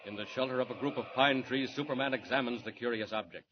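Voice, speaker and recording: A man's voice: mid-range voice, fast talker, close to an everyday voice. Portentous 1940s radio serial narrator played by a man. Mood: suspenseful. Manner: theatrical, portentous. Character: dramatic male serial narrator, grave and urgent. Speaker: announcer and narrator. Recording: muffled, noisy 1940s radio recording.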